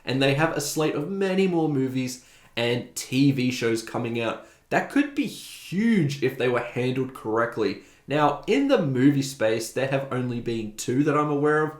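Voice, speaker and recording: A man's voice: medium pitch, expressive, normal speed. A man hosting a video essay, giving opinionated, conversational commentary. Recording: roomy, echoing voice recording.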